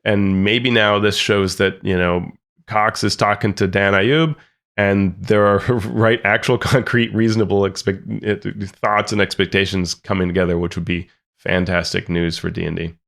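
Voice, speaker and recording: A man co-hosting a podcast, chatting quickly and agreeably and laughing easily. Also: lowish voice, fairly even intonation, gravelly, fairly bright tone. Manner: quick, affirming, laughs readily. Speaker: podcast co-host. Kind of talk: casual analytical chat.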